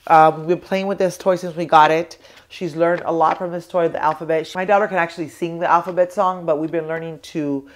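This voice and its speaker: This is a young American mother with a cold, her voice sitting low and a little congested. She chats to the camera in a loose, rambling way, full of ums and restarts as she shows off toys at home. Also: fairly even intonation, brisk. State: congested with a cold, sore throat. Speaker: toy review vlogger. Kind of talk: casual chat to camera.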